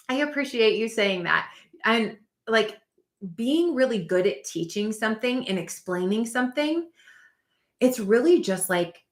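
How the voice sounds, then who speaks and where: A woman's voice: fairly high pitch, bright, forceful tone. Chatty upbeat woman in an echoey room. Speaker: spiritual business coach. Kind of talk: casual livestream teaching. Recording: roomy echo.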